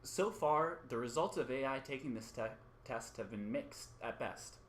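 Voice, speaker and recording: A man's voice: mid-range voice, lively intonation, normal speed, a bit bright, a little hiss or hum, natural voice. A man acting as an earnest, conciliatory radio co-host in an amateur radio play, placating in manner. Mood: presenting.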